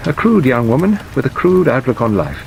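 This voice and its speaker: An elderly lawyer played by a male audiobook reader declaims with worldly sentiment. His pitch rises and falls widely, with melodramatic sighs and pauses. A faint wash of rain sits over the recording. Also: gravelly. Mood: dry, worldly. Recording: steady rain noise over narration.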